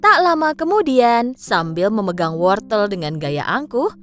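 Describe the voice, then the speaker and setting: A woman narrating a scripted children's fable in an expressive storytelling style. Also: mid-range voice, measured pace, faint music behind.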